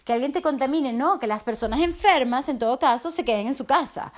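A slightly hoarse woman speaking as a coach in an earnest, heartfelt motivational podcast monologue, often checking in with the listener. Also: sits high, fairly quick. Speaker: resilience and fitness coach.

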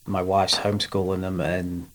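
A man's voice: low voice, little pitch movement, normal speed, fairly bright tone. A man in his thirties from England, a golf coach talking casually as a podcast guest, with many fillers and restarts. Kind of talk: casual interview.

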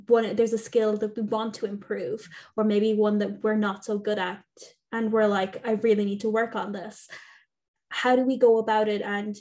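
A woman's voice: mid-range voice, dark tone. A young woman interviewing a guest in a friendly, hesitant way, with many fillers. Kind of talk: casual interview chat.

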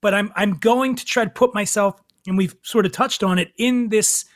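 A middle-aged man co-hosting a podcast, joking wryly and halting as he weighs his words. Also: high voice, dry recording, studio quality. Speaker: podcast co-host. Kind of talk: casual podcast chat.

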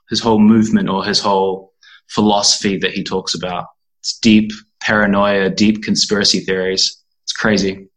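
Young man, New Zealand accent, lowish pitch, casual interview guest, informal wording. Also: fairly bright tone, low-quality recording. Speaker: interview guest, animal rights activist.

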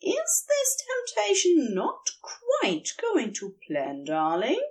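Woman reader playing a fond, flattering male lover, slow and teasing. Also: high voice, distant mic, voice pushed up, exaggerated voice.

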